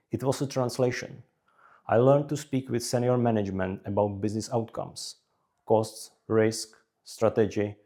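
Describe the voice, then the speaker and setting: A man from the Czech Republic with a Czech accent, narrating scripted career advice in measured, deliberate short phrases. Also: fairly low pitch, dark tone. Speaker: career advice video creator.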